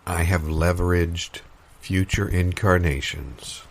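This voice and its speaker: A man performing improvised audio comedy as a pompous guru of financial enlightenment, speaking in deadpan, portentous, halting phrases. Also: sits low, exaggerated voice. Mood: solemn. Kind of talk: improvised audio comedy, mock-meditative pronouncements.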